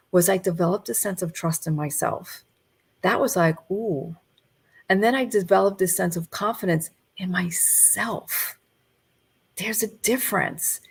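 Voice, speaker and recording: A woman in her fifties, a coach, giving a gentle, reflective motivational talk over a video call. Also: on the low side, vocal fry, husky. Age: 50s.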